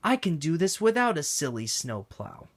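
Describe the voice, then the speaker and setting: A man's voice: on the high side, big pitch swings, normal speed, voice pushed up. A man voicing a small, stubborn young steam engine in a storybook reading.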